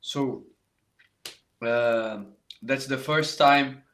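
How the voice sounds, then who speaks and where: A Brazilian-accented man, middle-aged, talks straight to his online viewers in a voice of ordinary middle pitch. He is a saxophone teacher, halting and repeating words as he goes, with Portuguese as his first language. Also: fairly even intonation, a bit bright. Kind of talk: live online masterclass talk.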